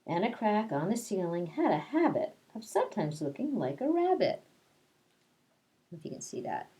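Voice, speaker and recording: A woman's voice: mid-range voice, very animated, moderate pace, dark tone. A woman teacher doing story time for kindergarteners, reading aloud and explaining things simply to young children. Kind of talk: picture-book read-aloud with casual asides to children.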